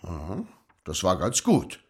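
An actor playing a blunt, skeptical man who quizzes a child, speaking deliberately in an audio drama. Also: low-pitched, huge pitch swings, heavily acted. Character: adult man testing a child with mental arithmetic, blunt. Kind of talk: German audio drama acting.

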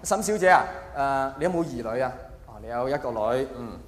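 A man's voice: mid-range voice, expressive, pitched below the performer's own voice, natural voice. Male comic as officious bank clerk, Hong Kong Cantonese accent, formal polite questioning, echoey stage sound, faint noise. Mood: polite, probing. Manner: courteous, formal, measured questioning.

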